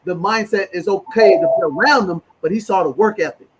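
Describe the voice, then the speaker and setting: A man's voice: high-pitched, a little fast, noisy background. A man hosting a conversational interview in an effusive way, peppering his talk with "man" and "brother". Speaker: interview host.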